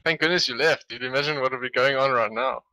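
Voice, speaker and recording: A man's voice: mid-range voice, fast, pushed and bright, no echo. A young man, co-hosting with casual, slangy banter. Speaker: podcast co-host.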